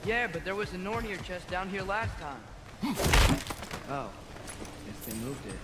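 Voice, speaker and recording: A man's voice: sits high, very animated, unhurried, very noisy. A young man playing an earnest, questioning teenage boy adventurer in a video game, speaking in a hesitant, searching way. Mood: curious. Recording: prominent game score behind.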